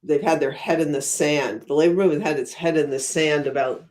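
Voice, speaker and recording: A woman's voice: low voice, fairly even intonation, fairly quick, a little mellow. Woman, expert interview guest. Conversational explainer, thoughtful stop-and-start delivery, emphatic on key terms. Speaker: guest academic, economist and author.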